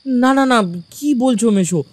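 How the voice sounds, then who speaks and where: A man plays a young villager in an audio drama. His voice is notably high for a man. He talks in a familiar, reassuring way, like a protective friend. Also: a little slow. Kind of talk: Bengali audio drama acting.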